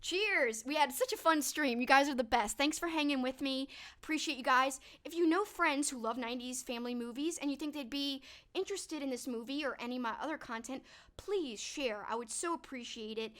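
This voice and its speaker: A woman's voice: sits high, fairly quick, fairly bright tone. A young woman hosting a livestream, chatting upbeat to her viewers and thanking them one by one, her voice a little stuffed up. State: a bit stuffed up. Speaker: livestream host. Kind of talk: casual livestream wrap-up talking to chat.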